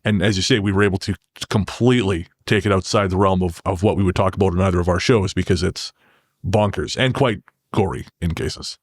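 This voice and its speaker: A man's voice: sits low, a little fast, breathy edge, no room sound, studio quality. A man talking conversationally as a podcast guest, often repeating and rephrasing as he goes. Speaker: podcast guest, horror fiction writer. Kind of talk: conversational interview talk.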